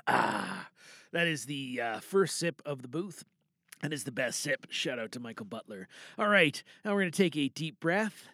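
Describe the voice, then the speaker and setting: Bright, chatty male podcast host. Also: medium pitch, animated, normal speed, creaky, dry recording. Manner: chatty, playful, self-interrupting. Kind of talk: casual chat.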